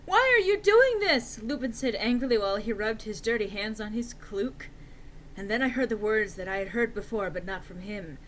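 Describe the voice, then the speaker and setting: A woman's voice: medium pitch, fairly even intonation, a little fast, slight background noise, low-quality recording. A woman narrating a story aloud in a theatrical, emphatic, comic dramatic-reading style.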